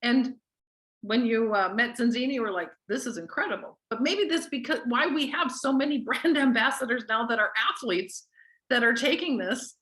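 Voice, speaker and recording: A woman's voice: fairly high pitch, normal speed, fairly bright tone, poor audio. A woman casually hosting a group call, introducing things in an enthusiastic, gushing manner. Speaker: host of a weekly company group call.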